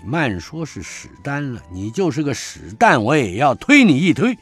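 A male storyteller voicing a firm, reassuring constable in a plain, steady manner. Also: medium pitch, moderate pace, husky, soft background music. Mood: challenging.

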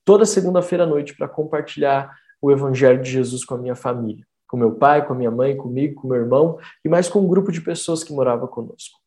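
A man's voice: medium pitch, fast talker, few pauses, mellow. A man in his thirties, a pastor from Brazil, preaching an earnest, conversational sermon with frequent fillers. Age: 30s. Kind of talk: online testimony sermon.

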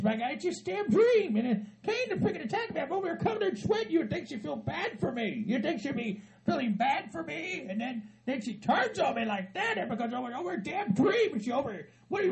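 A man playing a frantic aging hillbilly husband with a Southern hillbilly accent, who stammers, rambles and laughs through his words while yelling and cussing. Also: exaggerated voice. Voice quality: high-pitched and gravelly. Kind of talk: comedy sketch.

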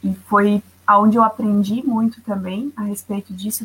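A woman from Santa Catarina, a publicist guest, talking in a measured, careful way over a video call. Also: medium pitch, husky, poor audio. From Santa Catarina, Brazil. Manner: measured, careful, with many "né" tags. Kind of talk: casual interview talk.

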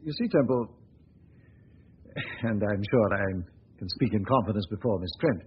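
A flustered husky police chief. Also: male voice, fairly low pitch, expressive, normal speed. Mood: worked-up. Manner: hesitant, with frequent "uh"s and throat clearing. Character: flustered, hesitant male police chief, middle-aged. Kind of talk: 1940s radio acting. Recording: dull lo-fi 1940s radio recording.

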